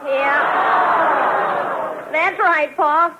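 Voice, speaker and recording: A woman's voice: sits high, very slow, over a noisy crowd. An actress playing a homely, naive old country mother in a 1940s radio comedy. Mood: homely, matter-of-fact. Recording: very noisy 1940s radio, loud audience.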